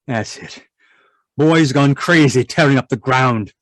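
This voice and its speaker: A man's voice: mid-range voice, a little slow, a bit bright, dry recording, clean studio sound. A man playing an irritable, domineering middle-aged scientist husband in an old-time radio drama, contemptuous and blustering. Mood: agitated. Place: indoors, live video call performance.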